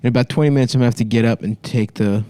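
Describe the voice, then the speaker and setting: A man's voice: medium pitch, fairly even intonation, racing, mellow, clean tone, no echo. A man bantering casually as a comedy podcast host, joking in slangy, profane language.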